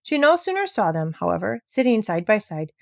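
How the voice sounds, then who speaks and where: A woman's voice: medium pitch, sing-song, a little fast, creaky, dry recording. Woman reading a storybook, dry sound. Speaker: narrator, reader. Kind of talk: scripted storybook read-aloud.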